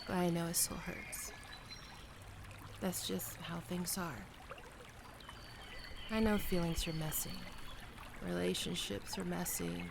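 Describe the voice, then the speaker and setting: A performer playing a gentle young best friend with a secret crush, speaking softly and hesitantly in an ASMR roleplay, comforting and tender. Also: medium pitch, expressive, slow, creaky, pauses often, background noise. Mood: tender, reassuring. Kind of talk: soft-spoken ASMR roleplay.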